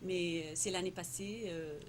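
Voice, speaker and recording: A woman whose first language is Tamil speaks in a fairly low voice, stumbling through her answers with lots of fillers and repeated words. The recording carries steady noise in the background. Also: unhurried. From Morocco. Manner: hesitant, many fillers and repeated words, laughs often. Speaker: interviewee, entrepreneur. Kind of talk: casual conversational interview. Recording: noisy background.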